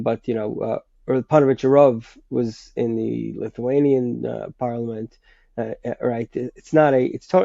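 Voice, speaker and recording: Man, stuffy sinuses, gravelly, halting with many restarts, dull lo-fi sound. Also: medium pitch, dark tone, no echo. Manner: hesitant, many restarts and fillers. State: congested with a sinus infection. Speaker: guest writer. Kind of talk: conversational history explanation.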